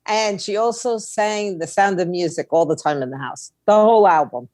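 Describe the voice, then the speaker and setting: A woman's voice: mid-range voice, normal speed, darkish tone, no room sound. A woman chatting casually as an interview guest, blunt and candid, telling stories by quoting people. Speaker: interview guest, indie label owner.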